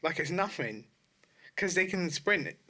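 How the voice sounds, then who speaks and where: A British man chats casually about games as a podcast co-host. His voice is bright and forceful, with lively swings in pitch. He is emphatic and slangy, and swears freely. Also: unhurried. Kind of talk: casual gaming podcast chat.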